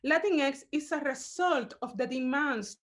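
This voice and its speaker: High-voiced curator, halting with fillers. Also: female voice. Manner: measured, halting, with frequent fillers. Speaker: curator giving a talk. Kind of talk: online lecture over slides.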